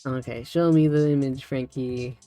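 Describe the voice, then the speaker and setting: A woman's voice: a bass voice, steady tone, normal speed, mellow, no echo. Woman chatting casually over background music. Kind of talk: casual chat while working on a project.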